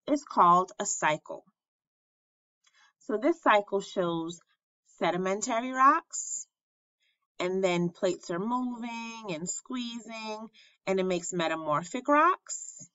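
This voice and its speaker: A woman reads a children's lesson aloud at an unhurried pace, with a bright, forward tone. She enunciates each phrase deliberately like a schoolteacher. The recording is close and dry, slightly dull in fidelity. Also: medium pitch, no echo. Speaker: elementary school teacher reading aloud.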